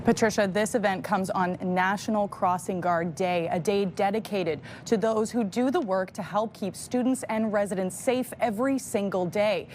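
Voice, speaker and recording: A woman's voice: medium pitch, normal speed, hardly any pauses, darkish tone, a little hiss or hum. A woman delivering a scripted live news report in a studio.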